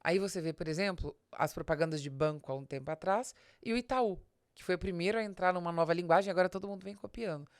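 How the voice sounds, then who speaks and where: A woman's voice: fairly low pitch, fast, few pauses, no echo, studio quality. A Brazilian woman giving an explanatory business podcast talk, speaking straight to the listener in a didactic way with rhetorical questions. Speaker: business advisor and podcast host.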